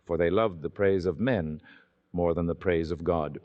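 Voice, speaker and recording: A man with a low voice reads scripture as a solemn narrator. His delivery is measured and theatrical, in the manner of old-time radio drama. The recording sounds muffled and band-limited.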